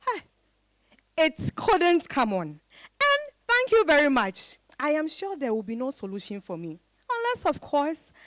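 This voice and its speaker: A woman's voice: high voice, fairly bright tone, no echo. An actress with a Ghanaian accent playing a proud, independent professional woman in a dramatized reading, emphatic and exclaiming. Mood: exasperated, defiant.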